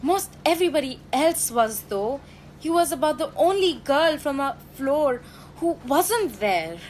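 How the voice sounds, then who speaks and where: Teen girl playing a high, slow-talking sentimental factory gossip. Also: expressive, a little mellow, a little hiss or hum. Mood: gossipy. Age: teenage high school student. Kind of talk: radio drama acting.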